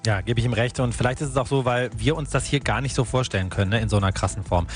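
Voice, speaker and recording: A man's voice: medium pitch, fast talker. A man hosting a local radio morning show, telling a news story in a conversational way and adding his own opinions. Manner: conversational, personal opinions mixed into the report. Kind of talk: radio news report with commentary. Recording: radio studio with faint music bed.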